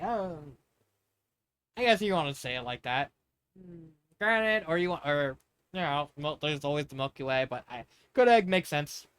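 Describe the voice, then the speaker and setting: Man reading fanfiction, halting and informal. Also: fairly high pitch, fairly bright tone. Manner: informal, halting, stumbles over words and corrects himself. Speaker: fanfiction reader, channel host. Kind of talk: casual read-aloud of fanfiction with off-script asides.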